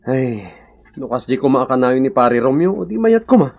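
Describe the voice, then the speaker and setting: An actor playing an excitable, apologetic village father in a radio comedy-drama, speaking expressively with an Ilocano accent. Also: medium pitch, normal speed, vocal fry. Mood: friendly. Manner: exclamatory, expressive.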